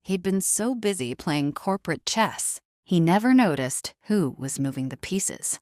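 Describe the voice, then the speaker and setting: Low-voiced woman narrating drama, dry studio sound. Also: animated, measured pace, darkish tone, no echo. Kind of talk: dramatic scripted audio story narration.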